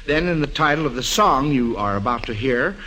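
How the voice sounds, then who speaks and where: A man's voice: mid-range voice, animated, fairly quick, sharp tone, a little hiss or hum, low-quality recording. An American man hosting a 1940s-style radio quiz, addressing child contestants in an avuncular way on an old broadcast recording. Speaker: quiz show host.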